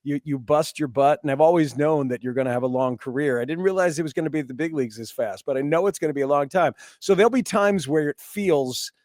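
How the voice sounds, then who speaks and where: A man's voice: on the high side, fast talker, few pauses, a little mellow, no room sound, clean studio sound. A middle-aged man hosting a conversational sports interview, encouraging, repeating phrases as he forms his thoughts. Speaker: sports radio host, interviewer.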